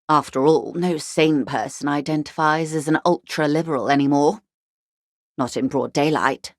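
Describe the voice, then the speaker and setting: A woman voicing a poised upper-class older woman in a video game, measured and articulate, with dryly ironic, condescending politeness. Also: sits low, no echo, studio quality. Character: poised, wry upper-class older woman, unrepentant ultra-liberal negotiator. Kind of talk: video game character dialogue.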